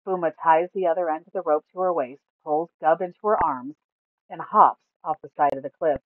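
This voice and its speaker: A woman storyteller reading scripted fantasy narration aloud in a measured, dramatic way. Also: sits low, dry recording. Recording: narrow, phone-like sound.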